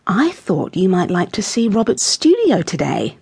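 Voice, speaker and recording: A woman voicing a calm, kindly grandmother in a children's storybook, soothing and measured but firm. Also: mid-range voice, vocal fry, husky, full character voice. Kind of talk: children's audiobook character voice.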